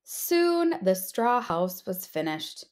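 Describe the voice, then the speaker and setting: Slow, expressive woman reading a storybook. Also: mid-range voice. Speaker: storybook reader, teacher. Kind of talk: expressive children's read-aloud narration.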